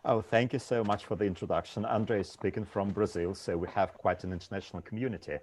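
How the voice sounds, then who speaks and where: A man's voice: on the low side, fairly even intonation, fast, darkish tone, husky. A man moderating an online panel discussion over a video call, reading out lists of places with frequent "uh" fillers.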